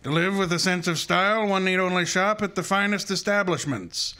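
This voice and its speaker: A man playing a pompous, shrewd detective in a radio drama, with a formal, measured manner. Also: high-pitched, bright, forceful tone. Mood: haughty.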